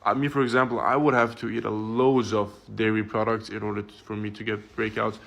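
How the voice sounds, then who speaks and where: A man's voice: on the low side, little pitch movement, fairly quick, creaky, a bit bright. A man talking casually and directly to viewers, giving skincare advice like a coach.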